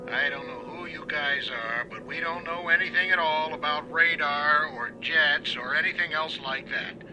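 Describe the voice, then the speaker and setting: Guy playing a gruff air traffic controller, kinda high voice, puzzled and official, radio play w/ music. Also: moderate pace, lightly acted, rough recording. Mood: curt, official. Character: baffled, gruff airport control tower operator. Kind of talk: radio drama acting.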